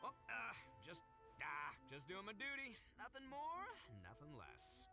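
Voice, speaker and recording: An actor playing a boisterous, boastful young gang boss in a video game, loud and showy. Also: male voice, high voice, huge pitch swings, measured pace, gravelly, music in the background, exaggerated voice. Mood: sheepish. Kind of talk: animated video game voice acting.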